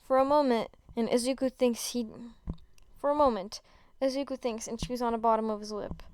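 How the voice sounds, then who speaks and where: A woman narrates a story in a high, smooth voice. She reads like an amateur, stumbling and repeating words as she goes. The sound is very dry, with no echo. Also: fairly even intonation, brisk, darkish tone. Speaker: podfic narrator.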